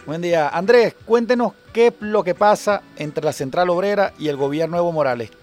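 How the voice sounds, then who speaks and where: Brief: Venezuelan male radio host, high voice, brisk announcer read, faint music underneath. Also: darkish tone. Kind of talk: radio news reading and interview. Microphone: studio microphone.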